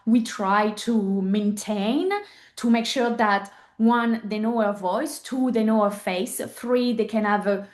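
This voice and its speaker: A woman in her thirties from France with a French accent, a native French speaker talking candidly as an interview guest, earnest and often pausing with fillers to find the right English word. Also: medium pitch, steady tone. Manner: earnest, searching for words with frequent fillers. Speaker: podcast guest.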